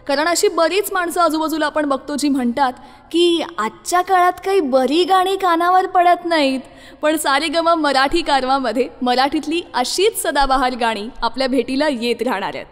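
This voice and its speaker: A woman's voice: sits high, moderate pace. A woman presenting a radio show as its host, speaking directly to listeners. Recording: long reverb, music playing behind. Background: music.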